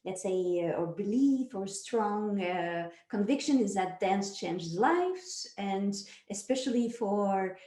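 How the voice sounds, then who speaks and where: A woman from France with a French accent, chatting as an interview guest, unhurried and full of fillers. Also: mid-range voice, animated, warm tone. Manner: unhurried, many fillers and restarts. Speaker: guest, nonprofit founder. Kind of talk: casual interview chat. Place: home in Zurich. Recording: echoey room.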